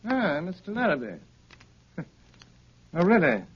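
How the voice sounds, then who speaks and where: An actor playing an urbane, sharp-witted gentleman detective in 1930s radio style, ironic and wry. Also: high-pitched, big pitch swings, slight background noise. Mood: teasing. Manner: brisk, ironic, elegantly wry. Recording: muffled 1930s radio recording.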